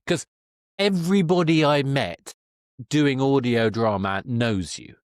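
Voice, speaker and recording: A man's voice: mid-range voice, takes it slowly, dry recording, studio quality. A man hosting an interview in a casual, chatty and emphatic way. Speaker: podcast host, interviewer.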